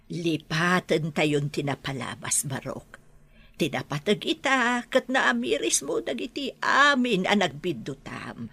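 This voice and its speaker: An elderly Ilocano grandmother in a radio drama, firm yet forgiving. Her voice is husky and lowish, with lively rises and falls. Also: unhurried, heavily acted. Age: older.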